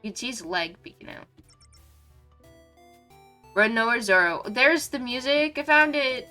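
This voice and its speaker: A young woman streams a game with a bright, forward voice that is clearly congested. Her talk is casual and scattered, full of little asides to herself. Music plays faintly in the background. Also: fairly high pitch, animated, a little slow. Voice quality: stuffy, congested nose. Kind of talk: casual live stream chat while playing a game.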